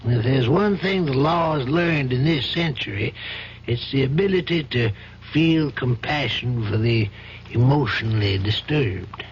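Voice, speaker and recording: An actor playing a calm, patient older judge in a radio drama, speaking in a measured, fatherly way. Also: medium pitch, natural voice. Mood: reflective. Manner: measured, fatherly, gently probing. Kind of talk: 1970s radio drama acting. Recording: dull old radio broadcast, faint hiss.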